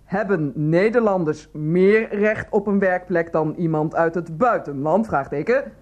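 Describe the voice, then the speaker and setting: An actress playing a businesslike, polite survey interviewer in a radio play, formally putting questions. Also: female voice, fairly low pitch, unhurried, a little mellow, highs cut off.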